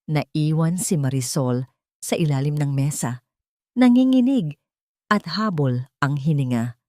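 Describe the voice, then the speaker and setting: Low-pitched woman narrating a dramatic story. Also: dark tone, no room sound, clean studio sound.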